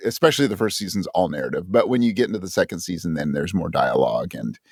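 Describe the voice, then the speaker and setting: A man's voice: deep voice, animated, quick pace, no echo, studio quality. A man giving thoughtful, reflective answers as an interview guest, searching for his words as he explains. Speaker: podcast guest, audio drama creator.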